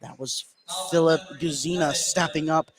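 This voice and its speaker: A man's voice: fairly high pitch, fairly even intonation, moderate pace, a crowd in the background, poor audio. An American man giving live play-by-play commentary as a basketball announcer.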